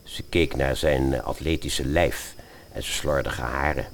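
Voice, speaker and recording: A man narrating a scripted story in an expressive storytelling style. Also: very deep voice, a little slow, a little hiss or hum.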